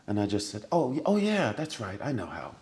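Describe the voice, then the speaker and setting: A man, a podcast guest, tells a personal story in casual conversation. He acts out the scenes with sound effects and laughter, and drops to a hushed voice for remembered lines. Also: lowish voice, wildly swooping pitch, a little fast.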